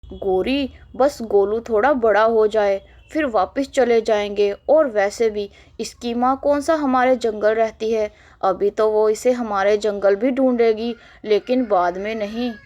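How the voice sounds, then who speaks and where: Cartoon male crow, scheming and smug, lowered voice, unhurried; performed by a woman. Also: a little mellow, lightly acted. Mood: reassuring. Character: scheming male crow, child thief and husband. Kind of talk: animated moral story dialogue.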